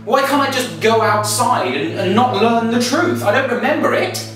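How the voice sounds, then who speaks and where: A man's voice: extremely high pitch, expressive, moderate pace, a bit bright. An actor playing a confused, stubborn amnesiac man trapped in a loop, questioning everything with exasperation in a stage play. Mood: confused, exasperated. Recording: very echoey room, distant mic, faint music.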